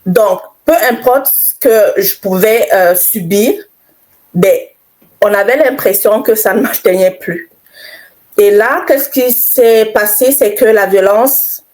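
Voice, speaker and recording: A middle-aged woman from Cameroon giving personal testimony in an interview, halting and reflective, repeating phrases for emphasis. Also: mid-range voice, a little mellow, low-quality recording. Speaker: interview guest, author.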